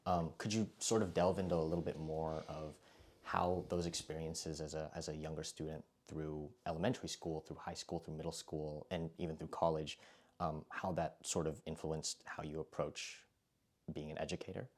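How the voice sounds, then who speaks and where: Young guy interviewer, deep voice, lots of ums, kinda hesitant. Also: little pitch movement, normal speed, a little mellow. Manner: hesitant, many fillers. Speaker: interviewer, culture editor. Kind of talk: interview questions.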